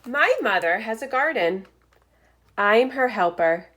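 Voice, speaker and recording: Slow, very animated woman reading to children. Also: on the high side. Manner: expressive, deliberate storytelling with short phrases and asides. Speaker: teacher reading aloud to first graders. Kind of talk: picture-book read-aloud for young children.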